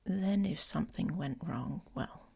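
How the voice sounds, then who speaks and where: Woman acting as guarded female werewolf, gravelly creak, low pitch, grumpy but protective, murmured ASMR roleplay. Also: expressive, measured pace, darkish tone, heavily acted. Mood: vulnerable, hesitant. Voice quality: breathy, husky, often whispering.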